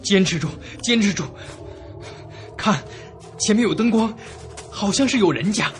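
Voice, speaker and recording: A man's voice: high-pitched, animated, measured pace, slight background noise. An actor playing a terrified young man who narrates his own horror ordeal in a suspense radio drama, breathless and dramatic. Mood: urgent. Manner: breathless, dramatic, swinging from hushed to panicked. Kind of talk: Chinese suspense radio drama acting and first-person narration. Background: dramatic background music.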